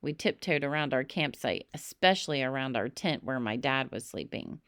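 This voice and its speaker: A woman reading a children's story aloud in an expressive narrating style, with emphatic, dramatic phrasing. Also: very deep voice, normal speed, clear, resonant tone, no echo, clean studio sound. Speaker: storybook reader.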